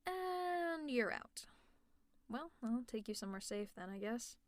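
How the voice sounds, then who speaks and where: A fairly high-voiced, teasing young villainess, played by a woman in an audio roleplay, exasperated and caring toward her worn-out rival. She speaks close to the mic in a dry room with no echo. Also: animated, unhurried. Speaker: ASMR roleplay creator.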